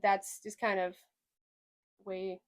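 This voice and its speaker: A woman's voice: medium pitch, flat delivery, takes it slowly. A young woman from Sweden with a slight Southern US twang, hosting a podcast in a rambling, chatty way with lots of hedging. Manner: rambling, chatty, hedges a lot. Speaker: podcast host. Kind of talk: casual chat.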